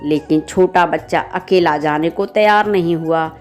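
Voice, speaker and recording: A woman's voice: sits low, unhurried, soft background music. A woman storyteller narrating a children's bedtime story.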